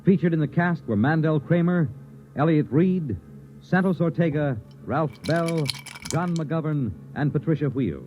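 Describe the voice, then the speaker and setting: Animated American 1950s radio announcer, lo-fi man's voice. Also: fairly high pitch, a little slow, soft background music. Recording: dull, lo-fi 1950s radio recording.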